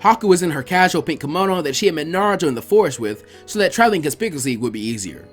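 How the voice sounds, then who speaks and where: High-pitched, lively male story narrator. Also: rapid-fire, faint music behind. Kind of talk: expressive audiobook-style narration.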